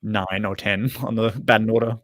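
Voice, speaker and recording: A young man in his twenties with a lowish voice and dry, close sound. He chats casually during a football match and rambles through his stories, stopping to correct himself. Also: level tone, normal speed, fairly bright tone, no room sound. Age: 20s. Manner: rambling, self-correcting storyteller. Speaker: co-host of a live football watchalong. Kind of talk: casual chat.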